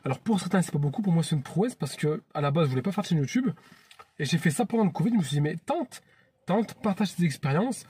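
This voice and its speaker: A man's voice: a little high, darkish tone, slightly rough. A man in his thirties from France, hosting his own channel in an earnest, chatty vlog monologue that often restarts phrases. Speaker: YouTube channel host.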